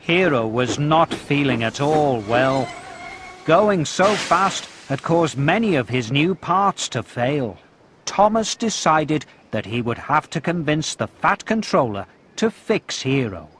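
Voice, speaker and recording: A male narrator tells a scripted story for young children, addressing them directly. His voice sits a little high and swoops expressively, at a slow, measured pace. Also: very animated, background noise. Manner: addresses young listeners with questions and countdowns. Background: music.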